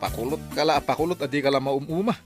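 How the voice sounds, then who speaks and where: A woman's voice: deep voice, quick pace, husky, soft background music. A woman playing a grumpy, mocking village husband in an Ilocano comedy drama, with a Filipino Ilocano accent and scolding delivery.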